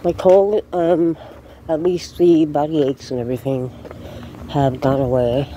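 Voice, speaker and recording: A congested woman with a cold talks slowly into a handheld phone as she walks along outdoor sidewalks. Her chat is casual and rambling, with laughs as she tells stories. Loud outdoor noise runs under her voice. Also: sits low, fairly even intonation. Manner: chatty, laughing, rambling storyteller. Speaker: beauty vlogger. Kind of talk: casual walking vlog chat. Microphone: handheld phone camera. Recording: noisy outdoor phone recording.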